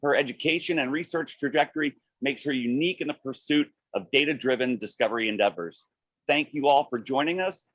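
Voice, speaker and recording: A man moderating a webinar, formally reading out guest introductions at a steady pace with a few small slips. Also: mid-range voice, a little fast, dry recording.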